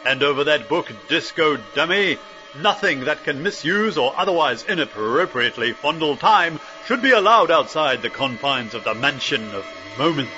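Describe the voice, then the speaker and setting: A man's voice: fairly high pitch, lively intonation, moderate pace, muffled recording, natural voice. A man playing a self-important, bumbling time guardian in a comedic audio drama, speaking in a pompous, melodramatic way.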